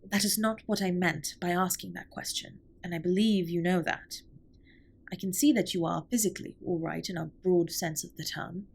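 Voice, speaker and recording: Alien spaceship captain played by a woman, audio roleplay. Soft husky voice, slightly low, precise formal diction, gentle earnest care. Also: steady tone. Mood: concerned, earnest. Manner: formal, stiffly precise, measured wording. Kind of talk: scripted intimate comfort audio roleplay.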